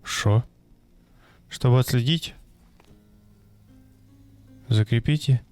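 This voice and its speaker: A man's voice: mid-range voice, drawn-out words, darkish tone. Young man, game commentary, mumbling, wisecracking, faint music behind. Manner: mumbling, wisecracking, thinking aloud. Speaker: gameplay streamer. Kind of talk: casual let's-play commentary.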